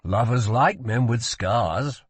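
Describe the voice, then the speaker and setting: A man voicing a guarded magician in an audiobook, a calm and protective brother who speaks in a measured, earnest way. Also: medium pitch, husky, no room sound. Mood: wry.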